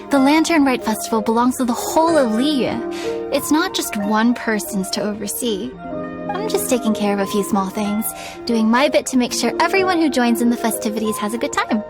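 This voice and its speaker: Polite, higher-pitched woman festival organiser, acted. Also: lightly acted. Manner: polite, obliging. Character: welcoming, modest, busy woman organising a festival market. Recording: clear music behind voice.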